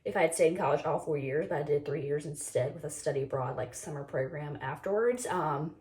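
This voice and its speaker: A woman's voice: low-pitched, fairly quick, a little mellow. A woman in her twenties, a bit sick and losing her voice, chatting casually through a makeup routine with lots of "like" and "um.". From North Carolina, US. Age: 20s. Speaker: beauty vlogger. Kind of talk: casual get-ready-with-me chat.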